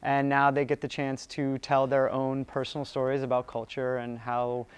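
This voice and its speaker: A man who teaches theatre, calmly explaining a student writing and staging program in an interview. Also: medium pitch, smooth, no room sound. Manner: measured, explanatory.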